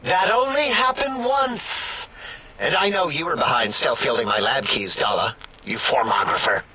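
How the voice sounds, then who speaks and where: An actor playing a petty, excitable robotic scientist in a video game, snide, sarcastic and quick to bicker. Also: male voice, mid-range voice, husky, rough recording. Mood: indignant, snide. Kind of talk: video game character acting.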